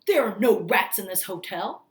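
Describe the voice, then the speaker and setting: A woman's voice: medium pitch, expressive, moderate pace, close to an everyday voice. A woman voicing a bristly, pompous male hotel manager in a storybook, indignant and emphatic in a formal way. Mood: outraged.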